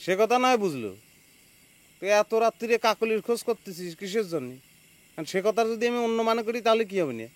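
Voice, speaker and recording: A man's voice: high voice, lively intonation, fast, dry recording. An actor playing a harried, touchy young village man in a comedy drama, arguing with rhetorical questions. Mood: indignant, accusing. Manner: argumentative, full of rhetorical questions. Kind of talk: Bengali TV comedy drama acting.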